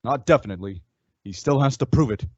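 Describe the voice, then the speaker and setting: A man's voice: medium pitch, expressive, quick pace, darkish tone, breathy edge, no room sound. Man acting resolute western radio hero, muffled recording. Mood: calm, resolute.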